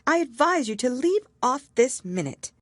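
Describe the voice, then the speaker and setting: A woman's voice: high voice, big pitch swings, normal speed, no room sound, studio quality, pitched above the performer's own voice. A woman voicing a curious, polite little girl in a storybook, thoughtful and given to talking to herself. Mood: sharp, scolding.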